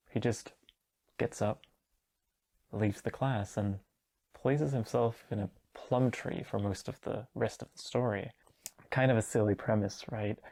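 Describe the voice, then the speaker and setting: A man's voice: lowish voice, a little mellow. A man in his twenties, a native Danish speaker, recapping a book for a video essay in a wry, sardonic, casual manner. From Denmark. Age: 20s.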